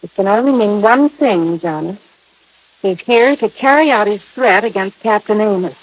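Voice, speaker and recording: A woman's voice: medium pitch, lively intonation, normal speed, a little hiss or hum, lightly acted. An American actress playing an anxious, coy, earnest young woman client in a 1950s radio drama. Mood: anxious, urgent. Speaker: actress in a radio detective series. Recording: muffled old radio recording.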